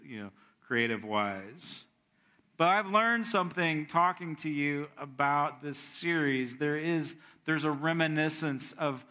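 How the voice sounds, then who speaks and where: Bright-voiced man preaching casually. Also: medium pitch. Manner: conversational, self-correcting, prayerful. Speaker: pastor. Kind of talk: sermon with announcements and prayer.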